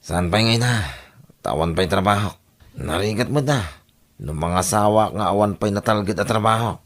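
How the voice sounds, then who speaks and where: A man with a Filipino accent playing a calculating, smooth-talking boyfriend without a job in an audio drama. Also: fairly low pitch, normal speed, natural voice. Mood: evasive, coaxing. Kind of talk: Ilocano audio drama acting.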